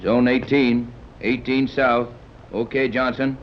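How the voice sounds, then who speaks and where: A brisk sea captain who gives orders in a clipped, commanding way, as an actor plays him. The sound is dull and faintly hissy, like a 1930s radio broadcast. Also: male voice, medium pitch, little pitch movement, lightly acted. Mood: explaining. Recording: dull old radio recording.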